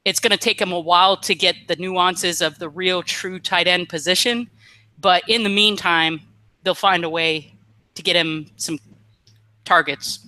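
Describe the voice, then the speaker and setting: A woman in her fifties from Vermont, chatting as a guest on a video call from home, animated and quick to laugh. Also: lowish voice, no echo. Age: 50s. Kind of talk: casual chat. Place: home room, bare walls.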